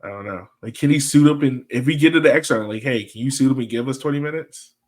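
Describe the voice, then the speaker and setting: A man's voice: mid-range voice, fast talker. A man hosting a casual sports podcast panel, talking in an informal, slangy and playful way. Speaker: podcast host.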